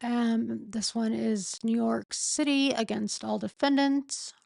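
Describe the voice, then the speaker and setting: Slow-talking woman with a sore voice. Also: a little high, steady tone, creaky, darkish tone, no room sound. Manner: slow, halting reading with pauses and self-corrections. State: losing her voice, sore throat. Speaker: streamer reading a court filing. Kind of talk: casual late-night live stream.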